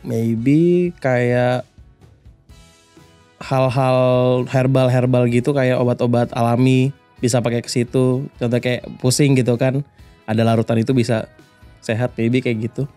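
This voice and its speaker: A man's voice: mid-range voice, fairly even intonation, a little slow, pauses often, dark tone, faint music behind. A man from Jakarta answering a casual interview, guessing and tossing in English words.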